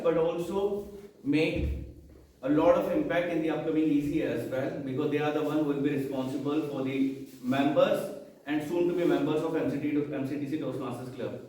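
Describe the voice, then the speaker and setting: A man's voice: on the high side, dark tone. A man from Haryana with an Indian English accent, whose first language is Haryanvi, giving a motivational speech to a club audience and quizzing them with rhetorical questions. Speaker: guest speaker at a club installation ceremony. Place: meeting hall, indoors. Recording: very echoey room, mic far off.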